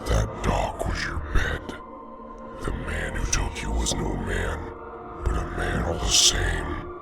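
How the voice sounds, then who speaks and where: A man voicing a sinister, inhuman ferryman who speaks in slow, cryptic riddles. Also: very deep voice, vocal fry, full character voice. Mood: menacing. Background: loud music.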